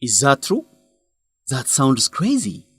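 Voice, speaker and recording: An actor playing an earnest, principled young man who urges his friend in a radio drama, with an animated, scolding manner. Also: medium pitch, slow pace, breathy edge, no echo, exaggerated voice. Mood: pleading, scolding. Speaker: friend and fellow researcher.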